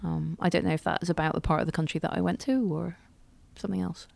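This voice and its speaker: Wry woman with a gravelly voice. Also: fairly low pitch, steady tone, fast talker, darkish tone, dry recording. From United Kingdom. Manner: chatty, wry, self-deprecating. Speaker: podcast co-host. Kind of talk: casual chat.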